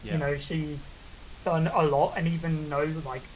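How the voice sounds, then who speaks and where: A man's voice: on the high side, flat delivery, unhurried, a little mellow, a little hiss or hum, poor audio. A young British man with a regional accent, a VTuber guest chatting casually and rambling with lots of fillers. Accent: British, non-London regional. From Britain. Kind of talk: casual interview chat.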